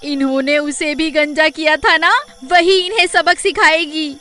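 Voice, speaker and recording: A woman voicing a panicky, melodramatic village woman in a Hindi cartoon, shrill and lamenting. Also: fairly even intonation, measured pace, sharp tone, a little hiss or hum. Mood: urging.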